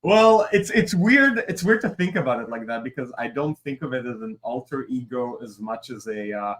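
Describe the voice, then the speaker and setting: A man's voice: medium pitch, lively intonation, normal speed, fairly bright tone. A man in his forties from Israel, a Hebrew speaker, talking casually as an interview guest, rambling with fillers and asides. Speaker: guest, creative director and comic writer.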